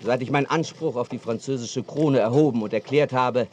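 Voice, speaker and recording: A man's voice: medium pitch, brisk, darkish tone, slight background noise, natural voice. A man playing a proud medieval king making a statement in a radio drama. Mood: assured. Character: proud medieval king claiming a foreign crown.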